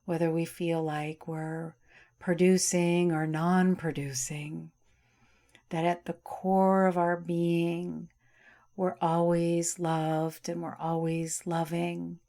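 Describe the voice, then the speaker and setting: A woman teaching yoga nidra, guiding a relaxation meditation in a soft, soothing way with long pauses. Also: sits low, gravelly.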